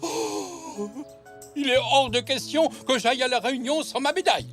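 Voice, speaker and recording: A proud old soldier grandad zebra, theatrical and sentimental. His pitch swings in a lively way, with faint music behind him in a children's cartoon. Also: moderate pace. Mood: distressed. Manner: expressive, theatrical. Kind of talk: French children's cartoon acting.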